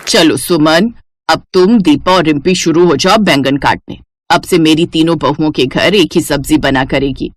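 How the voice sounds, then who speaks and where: A woman's voice: low-pitched, moderate pace, no room sound, pitched below the performer's own voice. A woman voicing a stern, grumbling old mother-in-law in a scolding manner for a cartoon moral story. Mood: firm, commanding. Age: older. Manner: scolding, complaining.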